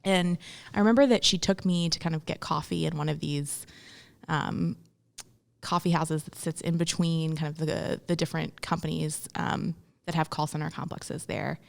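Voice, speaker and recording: A woman's voice: low voice, steady tone, normal speed, vocal fry. A young woman from Memphis, speaking reflectively as an interview guest, with frequent ums and restarts. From Memphis, Tennessee, US. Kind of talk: casual interview conversation.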